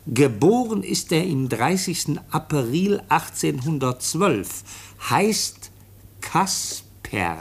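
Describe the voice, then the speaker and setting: A man playing a police official in a radio play, matter-of-fact and explanatory, with a husky voice. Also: on the high side, big pitch swings, exaggerated voice. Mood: deliberate reading. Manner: matter-of-fact, explanatory, slow and deliberate when reading aloud. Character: brisk male police official reporting on a case.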